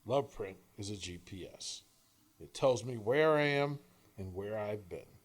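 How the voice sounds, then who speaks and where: A man's voice: lowish voice, lively intonation. Man giving an earnest, emphatic inspirational reading. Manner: earnest, emphatic, deliberate phrasing with dramatic pauses. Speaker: author reading own work; coach and radio host. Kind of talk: scripted inspirational audiobook-style reading.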